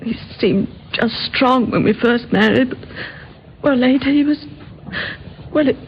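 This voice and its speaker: A young widow in a vintage radio play. Her voice is on the high side and she speaks guardedly, groping for words. The old recording is muffled and slightly noisy. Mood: hesitant, guarded. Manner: halting, guarded, searching for words. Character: hesitant young widow of a domineering older butcher. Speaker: actor in radio drama. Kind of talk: old time radio acting.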